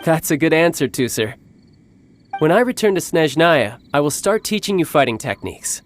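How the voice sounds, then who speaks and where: A man playing a cocky, playful young warrior and doting older brother in a video game, smooth-talking and teasing. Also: on the high side, very animated, normal speed, slight background noise. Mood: gentle, patient. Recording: background music under the voice.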